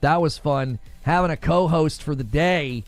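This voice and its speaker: A man's voice: a little high, a little slow, no room sound, faint music behind. A man talking casually on a live stream in a snarky, joking way. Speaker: streamer in played clip.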